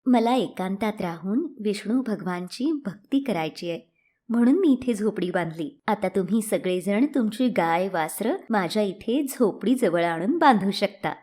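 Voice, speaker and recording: A woman's voice: medium pitch, expressive, moderate pace, mellow, natural voice. A woman voicing a pious, resolute young unmarried woman in a storybook tale, earnest and firm. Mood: calm, devout, firm. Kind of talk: storybook character acting.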